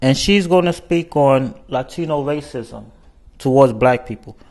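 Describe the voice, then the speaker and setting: A man with a mid-range voice introduces a clip to his audience. He explains his point in a measured, steady way. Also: medium pitch. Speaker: show host.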